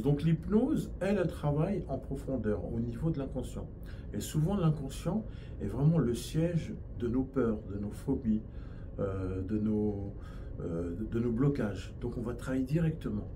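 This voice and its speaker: A man's voice: medium pitch, animated, normal speed, background noise. A man from France with a French accent, a hypnosis and NLP trainer explaining his field in an interview, with frequent restarts.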